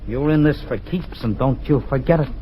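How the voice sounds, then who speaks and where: A man's voice: medium pitch, a little fast, breathy edge, dull audio, close to an everyday voice. An actor playing a cold, threatening gangster who takes a man hostage, in old-time radio acting. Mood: menacing. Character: menacing male gangster holding a hostage.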